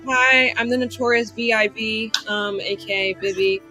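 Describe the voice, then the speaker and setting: A woman's voice: a little high, fairly even intonation, moderate pace, bright, forceful tone, faint music behind. A woman playing a tabletop game, chatting casually and playfully in a slightly frazzled way. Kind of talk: casual chat, introducing her character.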